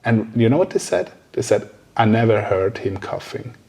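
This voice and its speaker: A man talking casually and a little haltingly as an interviewer in a podcast conversation. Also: fairly low pitch, big pitch swings, gravelly, warm tone, breathy edge.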